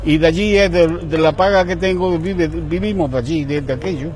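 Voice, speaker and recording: A man's voice: a little high, fairly quick, a little mellow. Older Spanish man, indignant and rambling. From Spain. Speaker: returned emigrant. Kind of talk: casual street chat.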